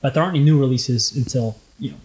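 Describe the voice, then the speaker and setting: A man's voice: medium pitch, rapid-fire, a little mellow, rough recording. A young man with a light tenor voice, co-hosting a podcast in casual, rambling chat with many fillers. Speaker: podcast co-host.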